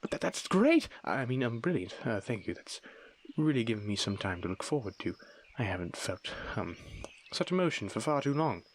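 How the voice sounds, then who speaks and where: A man playing a lonely, young-looking aristocratic vampire in an intimate audio roleplay, guarded yet tender, with formal old-fashioned wording and a soft breathy delivery. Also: medium pitch, very animated, moderate pace. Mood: shy, grateful. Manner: formal, old-fashioned wording, hesitant stammers when moved. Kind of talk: ASMR audio roleplay, intimate dramatic monologue.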